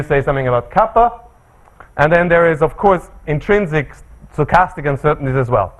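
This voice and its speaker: A man's voice: a little high, poor audio. Austrian man giving a seminar talk. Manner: measured, frequent fillers. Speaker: lecturer. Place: seminar room.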